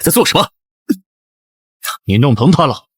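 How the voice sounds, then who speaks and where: A man playing a haughty, possessive young crown prince in an animated drama, imperious and formal. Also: on the high side, moderate pace, slightly rough. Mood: cold. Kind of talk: dubbed animated short drama, scripted.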